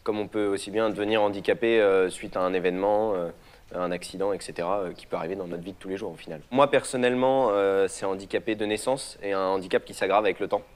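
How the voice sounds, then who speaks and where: A man explaining his situation in an interview, talking quickly with fillers. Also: sits low, fairly even intonation. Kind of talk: explanatory testimony.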